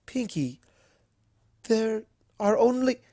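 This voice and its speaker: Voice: medium pitch, expressive, slow, dark tone, dry recording, clean studio sound, voice pushed up. A refined lady unicorn from a cartoon, played by a story reader, in a raised, lilting voice. The delivery is genteel and long-suffering, with weary, carefully strained politeness.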